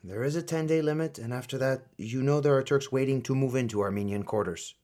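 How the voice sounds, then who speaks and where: A man acting in a radio play as a stern but protective older colonel and uncle figure, grave and deliberate. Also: mid-range voice, warm tone, close to an everyday voice. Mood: resigned, businesslike.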